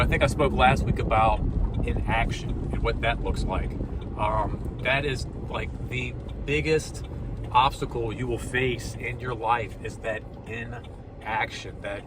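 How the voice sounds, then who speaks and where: An upbeat man in his forties rambles through a casual pep talk, full of likes and you-knows. His voice sits in a middle register. He sounds set back from the mic in a noisy car, with clear road noise behind him. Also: medium pitch, recorded from a distance. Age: 40s. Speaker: vlogger. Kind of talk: casual motivational vlog.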